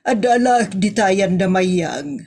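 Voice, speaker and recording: A woman's voice: mid-range voice, slow pace, darkish tone. A woman playing a grumbling, defensive aunt in a comedy audio drama.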